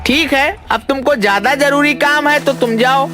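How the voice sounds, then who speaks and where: A woman's voice: sits high, normal speed, over music, lightly acted. A woman voicing a fussy, stubborn, foolish village man in a cartoon, with a whiny, insistent, exaggerated delivery. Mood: bossy. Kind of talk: exaggerated Hindi cartoon dialogue.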